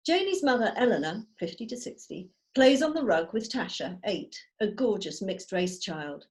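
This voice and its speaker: A woman reading out screenplay stage directions as measured, storytelling narration. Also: mid-range voice, big pitch swings. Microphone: video call.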